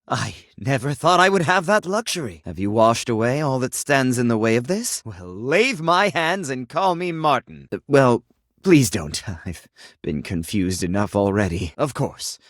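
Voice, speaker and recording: A man's voice: mid-range voice, a bit bright, no echo, clean studio sound, exaggerated voice. An actor playing a confused, sentimental sink who thinks he is human in a video game, with theatrical, flowery delivery. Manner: theatrical, flowery, swinging between formal and exclamatory. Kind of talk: video game character acting.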